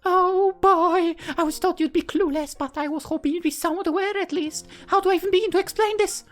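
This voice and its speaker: A man's voice: extremely high pitch, steady tone, quick pace, fairly bright tone, soft background music, voice pushed up. A man voicing a bubbly, teasing anime schoolgirl love interest in a visual novel, chirpy and theatrical. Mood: amused explaining.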